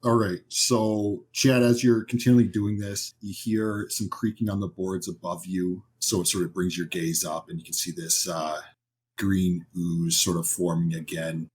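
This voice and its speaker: A man's voice: deep voice, flat delivery, moderate pace, a little mellow, slight background noise. A man as game master, improvising narration and explaining rules in a conversational way for a horror tabletop game.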